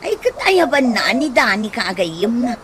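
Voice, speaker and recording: Fussy caring friend lady, ilocano accent, chiding, kinda high voice, radio comedy. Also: very animated, normal speed, noisy background. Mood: casual.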